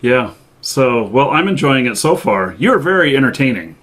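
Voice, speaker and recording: A man hosting a live interview show, asking questions hesitantly with many pauses and fillers. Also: medium pitch, very animated, a bit bright. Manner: hesitant, lots of ums and mm-hmms. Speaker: show host.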